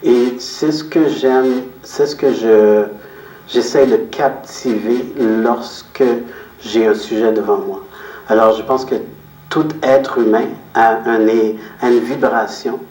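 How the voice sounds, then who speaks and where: A man answering interview questions as a guest, explaining his outlook in a reflective, measured way and repeating phrases for emphasis. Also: medium pitch, steady tone, a little mellow. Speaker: interview guest, photographer and business owner. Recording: roomy echo, distant microphone.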